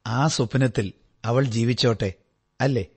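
A man's voice: mid-range voice, measured pace, darkish tone, breathy edge. An actor playing a detective's attentive male companion in a Malayalam radio drama, earnest and questioning. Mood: concerned.

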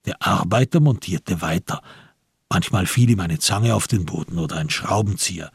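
A male narrator of a literary radio drama. His voice is on the low side and heavily husky. He delivers the prose with measured, dramatic weight in a dry, close studio sound. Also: no echo.

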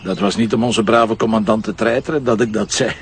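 A man's voice: lowish voice, fairly even intonation, a little fast, slight background noise, close to an everyday voice. An actor playing a cocky, sardonic Flemish police commissioner in a radio play, needling as he talks.

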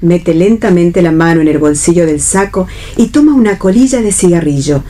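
Mellow Argentine woman narrating a play. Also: fairly low pitch, animated, normal speed. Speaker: stage-direction narrator. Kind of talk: scripted narration for a read radio play.

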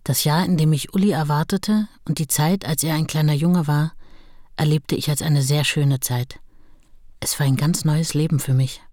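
Radio drama: a writer's wife looking back, low-pitched, unadorned, sober delivery, dry sound. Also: female voice, fairly even intonation, moderate pace, mellow, no echo. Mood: fond. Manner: matter-of-fact, unadorned. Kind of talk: radio play, reminiscing narration.

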